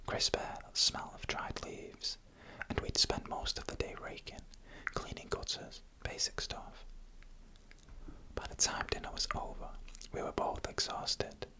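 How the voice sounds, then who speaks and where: Bright, whispering ASMR storyteller. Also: poor audio. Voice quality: breathy unvoiced whisper. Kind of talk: whispered ASMR narration of scary first-person stories.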